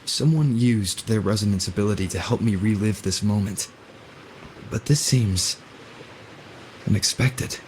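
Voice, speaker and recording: An actor playing a calm, earnest young male wanderer hero in a video game, speaking in a measured, serious way. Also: on the low side, slight background noise, lightly acted. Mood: puzzled.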